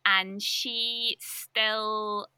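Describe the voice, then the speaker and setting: A young woman talks as a podcast guest, chatting casually and laughing often. Her voice is very bright and sharp. The sound is dry and close. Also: medium pitch, level tone, drawn-out words, clear, resonant tone, no room sound. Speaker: linguistics researcher guest.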